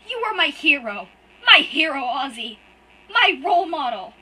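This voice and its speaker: A woman's voice: high-pitched, big pitch swings, a little slow, pushed and bright, far from the mic, pitched above the performer's own voice, heavily acted, poor audio. A woman voicing a timid young furry boy who cries out in betrayal. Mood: sobbing anguish. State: crying.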